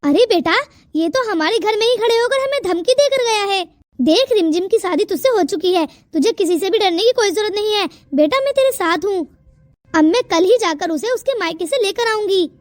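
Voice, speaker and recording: Extremely high voice, woman acting as stern cartoon mother, indignant, theatrical scolding, dry sound. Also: expressive, moderate pace, dry recording. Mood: protective. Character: stern, indignant mother and aunty in a family melodrama. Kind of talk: Hindi moral-story cartoon dialogue.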